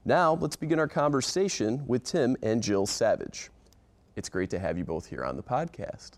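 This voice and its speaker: A man hosting a community podcast, reading a scripted intro and then interviewing guests in a friendly, measured way. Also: mid-range voice, animated. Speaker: podcast host.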